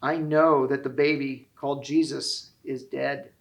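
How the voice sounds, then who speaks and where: A man's voice: mid-range voice, little pitch movement, a little slow, vocal fry, pitched below the performer's own voice. A kindly old grandfather from a children's storybook, voiced by a man. He is a former shepherd, sorrowful and tender, and he tells his memories in earnest rhyme. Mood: earnest, reminiscing. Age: older.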